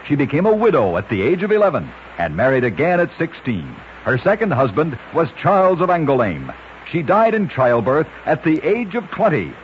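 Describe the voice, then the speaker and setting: A man narrates in a brisk, old-time radio announcer manner, his voice pitched a little high and swinging widely up and down. The recording is muffled and lo-fi, with faint hiss underneath. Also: huge pitch swings. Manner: brisk announcer delivery of odd facts.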